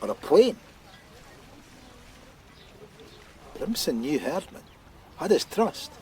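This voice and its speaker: An actor playing an anxious, restless veteran police detective in a radio drama. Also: male voice, a little high, big pitch swings, normal speed, darkish tone, slight background noise. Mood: thinking aloud.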